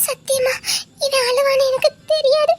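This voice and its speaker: Very high-voiced young woman, tearful, as an actress plays her. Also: little pitch movement, moderate pace, heavy background noise. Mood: apologetic. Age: young adult. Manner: sobbing, pleading. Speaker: daughter apologizing to her neighbour.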